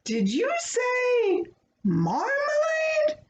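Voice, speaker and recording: A woman's voice: very high voice, huge pitch swings, drawn-out words, echoey room, dull audio, pitched above the performer's own voice, exaggerated voice. A woman voicing an urgent, easily dismayed male building foreman in a children's storybook. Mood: astonished. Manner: urgent, wailing, questioning.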